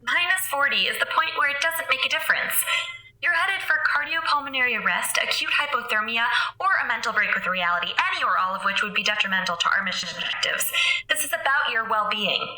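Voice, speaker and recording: Cool, precise station AI. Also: female voice, fairly high pitch, animated, pushed and bright, echoey room, low-quality recording. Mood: measured. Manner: formal, measured, coolly logical. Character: calm, precise female station AI. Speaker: station computer. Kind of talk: audio drama acting.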